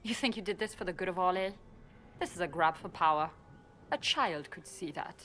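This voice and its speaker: An actress playing a defiant, cunning elven rebel in a fantasy game, cool and sardonic. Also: female voice, lowish voice, animated, fairly quick, a bit bright, a little hiss or hum. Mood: scornful. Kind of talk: fantasy video game acting.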